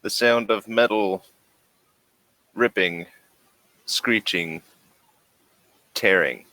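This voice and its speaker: A man acting as game master, narrating a tabletop game in a deliberate, dramatic manner. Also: on the low side, bright, forceful tone, no room sound, poor audio.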